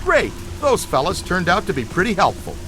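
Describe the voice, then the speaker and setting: An eager ghost-hunting tinkerer, high-voiced and lively. He is chatty, keen and jokey, a team-leader type in a video game, with loud music and rain around him. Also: a little fast. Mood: upbeat.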